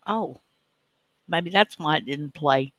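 A man casually making unscripted host announcements in a halting, apologetic way. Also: fairly high pitch, sharp tone, dry recording. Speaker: radio show host.

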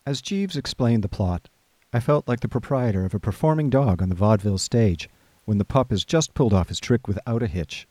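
A man playing a genial, idle young gentleman who narrates his own story in a radio comedy. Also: on the low side, a little fast, no echo, natural voice. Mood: admiring. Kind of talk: scripted first-person narration in a radio comedy.

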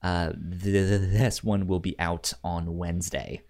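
A man's voice: sits low, fairly even intonation, measured pace, a little mellow. Man, podcast host, casual conversational delivery, frequent stammer on word beginnings. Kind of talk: casual film podcast chat.

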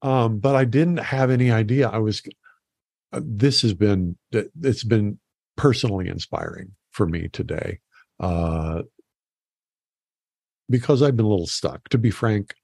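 A man hosting a podcast, talking in a relaxed, folksy way. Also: fairly low pitch, measured pace, creaky, no echo, clean studio sound. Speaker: podcast host. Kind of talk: casual interview chat.

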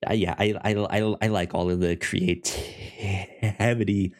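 A man's voice: low-pitched, fairly even intonation, a little slow. A man co-hosting a podcast in casual chat, rambling with frequent restarts and stammers. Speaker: podcast co-host.

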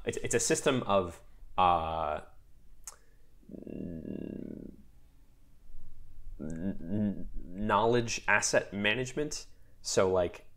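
Low gravelly man, chatty and rambling. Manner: lively, rambling, many fillers and restarts. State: recovering from a mild cold. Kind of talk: casual chat.

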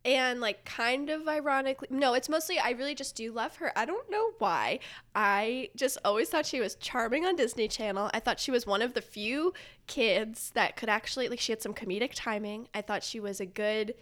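A woman's voice: on the high side, moderate pace, fairly bright tone. A woman chatting casually and comedically as a podcast guest, with a rambling, self-deprecating manner.

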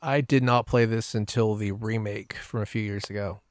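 A man speaks in a fairly low voice with very little room sound around it. He talks casually, like a panelist in a relaxed podcast discussion. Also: moderate pace, no echo, clean studio sound.

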